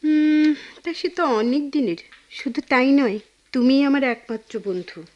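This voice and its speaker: A woman with a Bangladeshi accent playing a tender, conflicted young woman in a radio drama, speaking haltingly and repeating words when moved. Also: high-pitched, darkish tone, muffled recording. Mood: teasing, curious. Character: Keya, tender conflicted young woman haunted by lost love. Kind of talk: Bengali radio drama acting.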